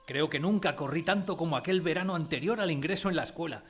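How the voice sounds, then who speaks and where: A man's voice: a little high, fast talker, fairly bright tone, breathy edge. A man playing a wistful narrator who recalls his village boyhood in a scripted radio drama. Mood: excited, dreamy. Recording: music under the voice.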